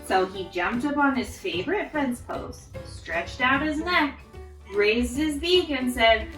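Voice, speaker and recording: High, animated woman reading to children. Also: takes it slowly, reverberant, distant mic, faint music behind, low-quality recording. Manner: encouraging, expressive. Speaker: storytime host reading picture books. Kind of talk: animated read-aloud to children.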